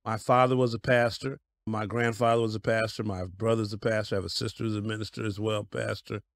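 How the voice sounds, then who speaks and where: Folksy middle-aged pastor, dry close sound. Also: male voice, fairly low pitch, level tone, quick pace, creaky, no room sound. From Denver, Colorado. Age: 50s. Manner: folksy, storytelling, laughs easily. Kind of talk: interview guest telling life story.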